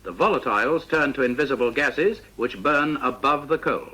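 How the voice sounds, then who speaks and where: A British man reading a scripted instructional narration for a vintage training film, in a precise, measured, didactic manner. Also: medium pitch, sharp tone, husky, poor audio.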